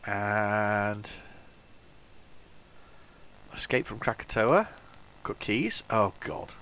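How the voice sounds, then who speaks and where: A man hosting a retro gaming video, talking casually while loading old tapes, with a head cold and a rambling, restart-filled way of talking that jumps into excited bursts. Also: lowish voice, very slow, a little hiss or hum. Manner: rambling, chatty, lots of restarts and sudden excited outbursts. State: has a head cold, sniffly.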